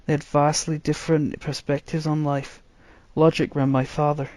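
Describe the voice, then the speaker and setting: Guy reading fantasy story out loud, dramatic narration, voice a bit high, no room echo. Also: flat delivery, moderate pace. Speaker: narrator, reader.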